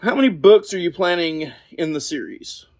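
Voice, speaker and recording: A woman's voice: fairly low pitch, lively intonation, normal speed, no echo. A woman hosting a podcast interview, introducing a guest and asking questions.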